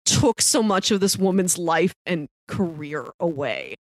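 A woman's voice: on the low side, sing-song, measured pace, dry recording, studio quality. A woman co-hosting a casual podcast discussion of a TV show, talking in an enthusiastic, appreciative way. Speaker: podcast co-host.

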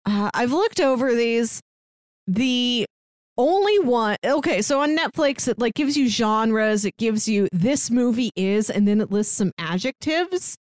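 A woman co-hosts a relaxed podcast chat with a wry, playful manner. Her voice sits a little high and swings animatedly, heard close and dry with no room sound. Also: unhurried, no echo. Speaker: podcast co-host. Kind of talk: casual chat.

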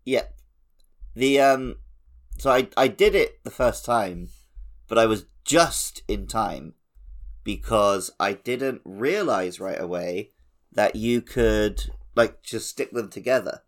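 Lower-voiced man in casual podcast chat. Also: animated, slow. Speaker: podcast co-host.

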